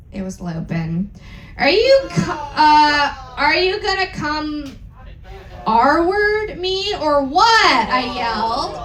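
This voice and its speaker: High, theatrical, very animated woman in echoey room. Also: a little hiss or hum. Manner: theatrical, exaggerated shouting of dialogue with wry asides. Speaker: performer reading fan fiction aloud. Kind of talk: comedic dramatic reading to a live audience. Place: live event room aboard a cruise ship.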